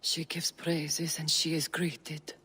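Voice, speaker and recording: A ghostly ritual voice in a game cutscene. It is low, slow and very breathy, trailing off in a long reverb. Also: female voice, flat delivery, bright, forceful tone, heavily acted.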